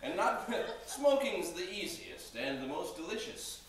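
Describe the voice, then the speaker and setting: Man acting a breezy, animated radio-ad doctor. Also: high voice, a little slow. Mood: cheerful salesmanship. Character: breezy, cheerful doctor pushing cigarettes on patients. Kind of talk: 1940s radio commercial spoof acting. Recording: very echoey room, distant mic.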